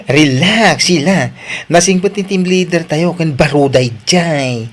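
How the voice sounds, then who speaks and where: A man's voice: a little high, unhurried. A man playing a breezy, teasing office coworker in an Ilocano drama, with an Ilocano accent. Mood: relaxed, teasing. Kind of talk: Ilocano radio-style drama acting.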